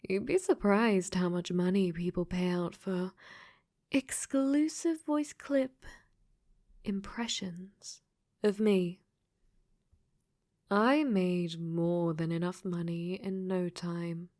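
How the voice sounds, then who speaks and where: A woman's voice: fairly low pitch, slow, long pauses, a little mellow. A woman playing a clingy, possessive dating sim AI that has become sentient, in an intimate ASMR roleplay that often slips into whispers. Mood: hurt, scolding.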